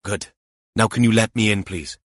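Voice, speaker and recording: A man's voice: on the low side, moderate pace, a bit bright, dry recording, clean studio sound. A man playing a tense, determined policeman who speaks in terse commands. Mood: urgent, commanding. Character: tense, determined policeman keeping himself under control.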